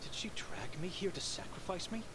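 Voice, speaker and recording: A man's voice: high-pitched, animated, normal speed, whispered. A man playing a devout, troubled priest in a horror game, speaking with grave intensity. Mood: anguished. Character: devout, troubled priest tormented by doubts about his sister. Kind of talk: dramatic game dialogue. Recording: clear background ambience noise.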